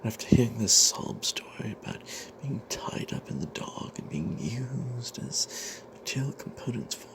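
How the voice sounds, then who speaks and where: A man telling a fantasy tabletop tale in a breathy ASMR whisper, halting with long pauses. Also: medium pitch, sing-song.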